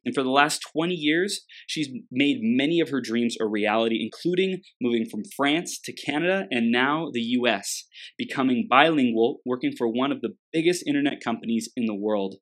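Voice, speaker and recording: An enthusiastic host running a live interview show, full of warm affirmations like constant agreement. The voice sits in a middle pitch and speaks with easy, chatty flow. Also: moderate pace. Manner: enthusiastic, affirming, many fillers.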